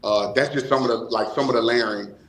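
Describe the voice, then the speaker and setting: A man giving reflective interview answers, choosing formal words in measured, hesitant phrases. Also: mid-range voice. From New Orleans, USA. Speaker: nonprofit co-founder, interview guest. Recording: roomy, echoing voice.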